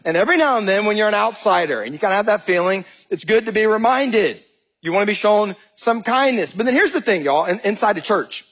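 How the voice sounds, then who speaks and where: Southern guy from georgia preaching, kinda high voice, bit husky, jokey storyteller pastor. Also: brisk, rough recording. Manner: animated, jokey storytelling, addresses crowd as y'all. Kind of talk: sermon with storytelling.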